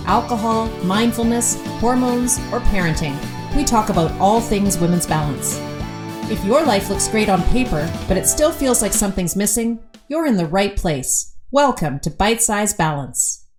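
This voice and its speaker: A woman's voice: medium pitch, animated, moderate pace. A woman host reading a scripted podcast introduction. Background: music.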